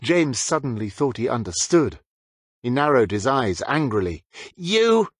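A man narrates fiction in a dramatic audiobook style. His voice is husky and moves widely in pitch. The sound is close and dry, with no room on it. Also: animated, moderate pace, dry recording.

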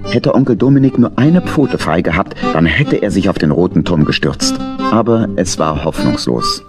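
A man's voice: deep voice, sing-song, moderate pace, over music. A man narrating a children's adventure audio play in a scripted storytelling style.

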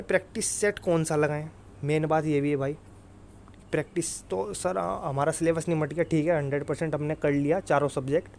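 Male exam-prep presenter, slightly high voice, informal and instructive with emphatic repetition. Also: darkish tone, a little hiss or hum. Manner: instructive, emphatic, repeats tag phrases. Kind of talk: informal explainer over screen recording.

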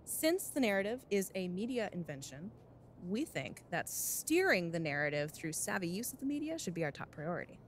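A woman playing a bossy, self-assured publicity manager in a game cutscene, brisk, casual and emphatic. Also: fairly low pitch, sing-song, slight background noise. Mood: businesslike. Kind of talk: video game cutscene acting.

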